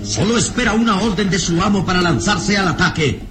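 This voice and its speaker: A man's voice: high-pitched, expressive, brisk, noisy background. A man narrating a radio serial in a dramatic, scripted style.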